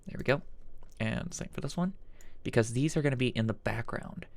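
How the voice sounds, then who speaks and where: A man hosting an informal software tutorial, thinking aloud haltingly as he demonstrates each step. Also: mid-range voice, vocal fry, no room sound. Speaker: tutorial host. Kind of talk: casual screen-recorded software tutorial.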